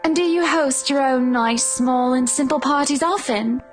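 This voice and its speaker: A woman's voice: high-pitched, normal speed, natural voice. An actress playing a poised, wry young married woman in a visual novel, politely spoken but ready to swear. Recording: music playing underneath. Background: game music.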